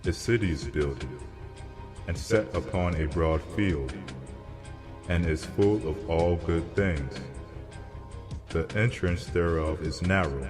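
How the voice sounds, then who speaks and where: A man voicing a solemn, commanding angel who declaims parables in a grave, measured way in a scripture dramatization. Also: low-pitched, voice pushed down. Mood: grave, instructive. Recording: long cavernous reverb under music.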